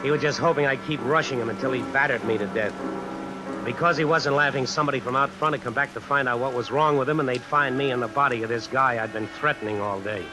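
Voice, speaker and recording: A man's voice: medium pitch, natural voice, rough recording. A man playing a cornered tough guy who narrates his predicament in a colloquial, brooding way in an old radio suspense play. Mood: shaken, brooding. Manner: colloquial, deliberate, brooding inner monologue. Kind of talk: 1940s-50s radio suspense acting, first-person narration. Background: music and rain sounds.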